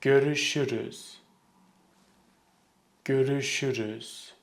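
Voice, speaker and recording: A man with a Turkish accent, a Turkish teacher giving a language lesson, speaking clearly and deliberately and slowing down to model words. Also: mid-range voice, steady tone, slight background noise.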